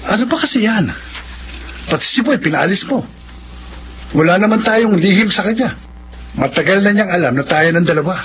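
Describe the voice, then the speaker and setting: A man's voice: sits high, expressive, moderate pace, long pauses, a bit bright, highs cut off, a little hiss or hum. A man playing an evasive, flustered young boyfriend in a radio drama, hesitant and given to stammering. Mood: irritated. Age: young adult. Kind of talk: Filipino radio drama acting.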